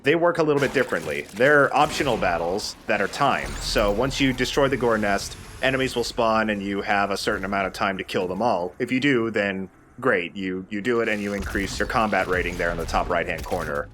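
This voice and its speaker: A man's voice: fairly low pitch, fairly quick, few pauses, a bit bright, slight background noise. A man giving casual, opinionated commentary over a video game, explaining how it works as he goes. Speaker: host of a video game let's play.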